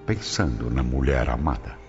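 A man's voice: extremely low pitch, lively intonation, moderate pace. A man narrating a radio soap in a dramatic, storytelling style. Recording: dull lo-fi radio drama audio. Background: clear music bed.

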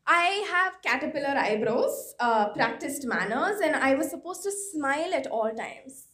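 High-voiced young woman telling her story. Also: sing-song, measured pace, fairly bright tone. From India. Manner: candid, self-mocking, laughs often. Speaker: storyteller reading her own letter. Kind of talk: personal storytelling, reflective.

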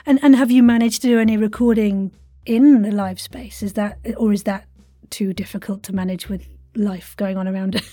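A woman's voice: mid-range voice, fairly even intonation, normal speed, warm tone, no echo, studio quality. A woman hosting a chatty interview, enthusiastic and appreciative as she asks questions. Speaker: podcast host, interviewer.

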